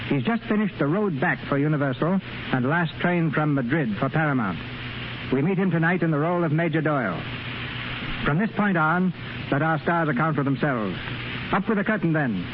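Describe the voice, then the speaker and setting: A man's voice: a little high, noisy background. A man hosting and narrating a 1930s radio drama in a polished, theatrical style. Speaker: radio announcer and host. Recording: muffled old radio broadcast under music.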